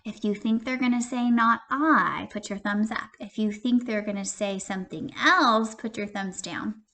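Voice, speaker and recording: A woman teacher giving an interactive children's read-aloud, expressive and questioning, speaking directly to young listeners. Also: medium pitch, normal speed. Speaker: primary school teacher.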